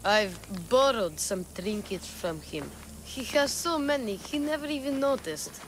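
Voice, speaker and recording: An actress playing a cheeky, candid child in a video game cutscene, speaking in a youthful, matter-of-fact way. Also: female voice, on the high side, animated, unhurried, a little hiss or hum. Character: cheeky, candid child searching for truth about father. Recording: faint music underneath.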